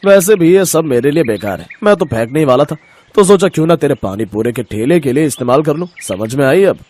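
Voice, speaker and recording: Casting: male actor for scheming cartoon shopkeeper, fairly high male pitch, grumbling, self-justifying. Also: lively intonation, a little fast, a little mellow, slight background noise, lightly acted. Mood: sly. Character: jealous, greedy shopkeeper brother-in-law, scheming and grumbling. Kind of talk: Hindi moral story cartoon dubbing.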